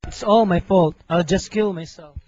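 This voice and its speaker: A man's voice: sits high, normal speed, a little mellow, close to an everyday voice. A young man playing a lovesick, self-sacrificing hero in a student radio drama, earnest and melodramatic. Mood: desperate, resolute. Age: young adult. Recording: muffled amateur recording, music behind.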